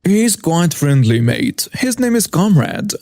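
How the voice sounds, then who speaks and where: A friendly young man plays up a heavy Russian accent, as a male narrator puts it on. The delivery is warm and teasing, set over faint background music in a dry, close recording. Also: high voice, big pitch swings, takes it slowly, dry recording, full character voice.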